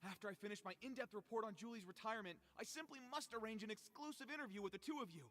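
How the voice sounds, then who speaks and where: Squeaky, gushing little reporter creature. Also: male voice, racing, fairly bright tone, in a large hall. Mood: starstruck. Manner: gushing, theatrical, dramatic. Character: excitable, flattering, starstruck small male tabloid reporter creature. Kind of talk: video game character acting.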